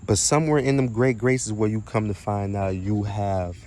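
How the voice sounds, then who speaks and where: A man's voice: fairly low pitch, steady tone, moderate pace, a little mellow. A man giving an informal, slangy spiritual monologue in an emphatic, repetitive manner, often posing rhetorical questions. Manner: casual and slangy with some swearing. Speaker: spiritual content creator and speaker.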